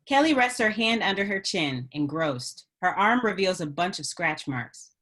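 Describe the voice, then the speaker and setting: A woman's voice: lowish voice, animated, moderate pace, a bit bright. A woman narrating stage directions from a script in a scripted reading style.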